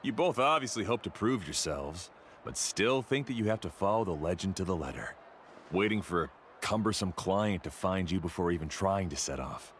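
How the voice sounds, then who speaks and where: An actor playing an arrogant, dismissive guide-for-hire in a video game, with a haughty, scornful manner. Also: male voice, low voice, animated, moderate pace, a little hiss or hum. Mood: smug. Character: arrogant, dismissive, mercenary male island guide.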